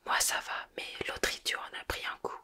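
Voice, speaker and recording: A woman's voice: normal speed, sharp tone. A woman reading the part of a loyal, anxious boy wizard in a whisper.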